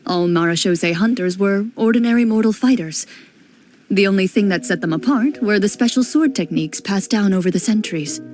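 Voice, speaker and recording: A young woman playing a composed, serious female duelist, speaking in a calm, measured way as she explains history in game dialogue. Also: medium pitch, background noise, natural voice. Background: game music.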